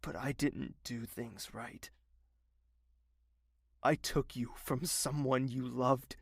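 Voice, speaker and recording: A remorseful nobleman, gravelly and soft. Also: mid-range voice, fairly even intonation, no room sound, clean studio sound, heavily acted. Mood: tender. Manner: soft, slow and earnest. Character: tender remorseful nobleman in love. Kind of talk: audio drama acting.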